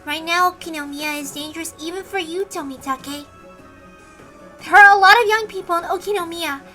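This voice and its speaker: Woman doing a very high, bright, resolute little girl. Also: a little fast, faint music behind. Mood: determined. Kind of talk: dramatic visual novel read-aloud.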